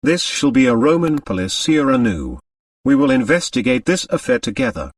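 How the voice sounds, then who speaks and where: A man voicing a polite, probing male detective in an audiobook, courteous and deliberate. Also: mid-range voice, sing-song, no room sound, clean studio sound, far from the mic. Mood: courtly.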